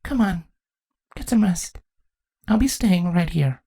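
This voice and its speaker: A man voicing a young pony princess, a devoted, teasing daughter, in a soft voice for a storybook reading. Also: high voice, expressive, slow pace, husky, no room sound, studio quality, pitched above the performer's own voice, full character voice. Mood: loving.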